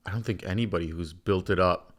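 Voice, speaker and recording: A man's voice: sits low, fast talker. A young man hosting a podcast, chatting loosely with lots of "like" and the odd swear. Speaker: podcast host. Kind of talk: casual chat.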